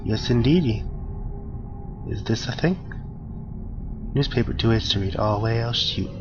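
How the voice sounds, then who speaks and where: A man with a gravelly, allergy-hoarse voice talks slowly through a horror game in a middle pitch. He jokes and chats with the characters on screen. The audio is dull and lo-fi, with game music running underneath. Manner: jokey, talks to the game, gasps at scares. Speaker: gaming channel host. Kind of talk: casual horror game commentary.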